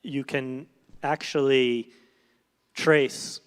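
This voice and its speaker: A man answering audience questions on a panel, thoughtful and measured, with many fillers and restarts. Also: medium pitch, fairly even intonation, clean tone. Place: event hall on stage. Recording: reverberant hall.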